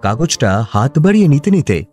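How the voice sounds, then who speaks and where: Actor as male storyteller for radio comedy; expressive mid-pitched narration over faint music. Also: moderate pace, natural voice. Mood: storytelling. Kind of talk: scripted radio drama narration.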